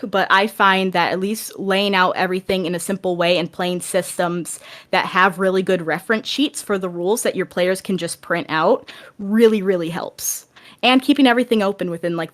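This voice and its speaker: Woman explaining things on a podcast. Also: on the low side. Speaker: podcast guest, game master. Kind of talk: casual podcast discussion.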